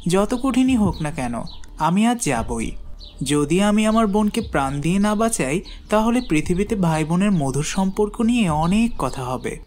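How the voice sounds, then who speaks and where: A woman playing a brave, earnest young boy in an animated fairy tale, speaking with determined, declamatory delivery. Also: mid-range voice, moderate pace, a little hiss or hum, close to an everyday voice. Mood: determined pleading.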